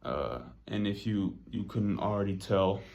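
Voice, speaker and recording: A young man with a Los Angeles accent talks through a class presentation in a relaxed, slangy way, full of fillers. His voice sits low, and he takes his time. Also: a little slow, muffled recording. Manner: casual, slangy, with many fillers. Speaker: student presenter.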